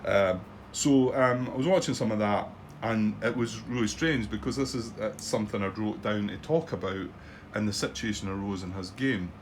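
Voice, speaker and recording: Rambling Scottish man, lowish voice. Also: moderate pace, hardly any pauses, a little hiss or hum. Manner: rambling, hesitant, lots of ums and restarts. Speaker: board wargame channel host. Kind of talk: casual commentary on a solo board game playthrough.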